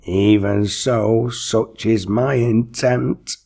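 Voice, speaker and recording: A man's voice: steady tone, drawn-out words, gravelly, mellow, full character voice. A man voicing a mocking, menacing devil in a storybook, with pompous, archaic, taunting speech. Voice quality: shrill, piercing, unpleasant. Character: mocking, menacing male devil, impatient and gleeful. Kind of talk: storybook character voice.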